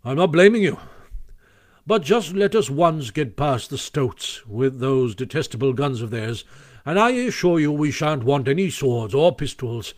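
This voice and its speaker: A man voicing a gruff, blunt older badger in a storybook, speaking emphatically and insistently with rustic grammar. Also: mid-range voice, lively intonation, measured pace, lightly acted. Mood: confident, blunt.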